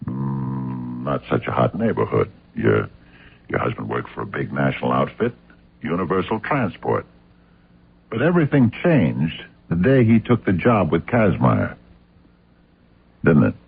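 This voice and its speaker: An actor playing a hard-nosed, relentless investigator in a radio drama, questioning in a probing, accusatory, clipped way. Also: male voice, rumbling low, big pitch swings, unhurried, creaky, slightly rough, heavily acted. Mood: accusing. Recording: muffled narrow-band old radio sound.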